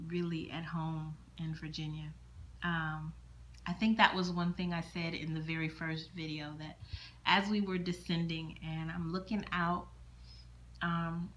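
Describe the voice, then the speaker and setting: Low, bright-voiced middle-aged woman vlogging. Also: lo-fi sound, a little hiss or hum. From born in Germany, military child. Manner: rambling, repeats words, thinks aloud with fillers. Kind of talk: casual chat to camera. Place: indoors at home, windows open.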